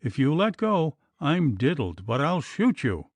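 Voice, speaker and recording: A man voicing a boastful, hot-tempered gentleman who shouts and curses in a fright. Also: mid-range voice, wildly swooping pitch, slow pace, no room sound, heavily acted. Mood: blustering. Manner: blustering, shouting.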